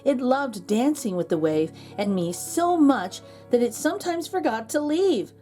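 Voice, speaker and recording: A woman's voice: fairly high pitch, animated, measured pace, dark tone. Woman reading a storybook aloud over music. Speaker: children's book read-aloud host. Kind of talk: storybook read-aloud narration.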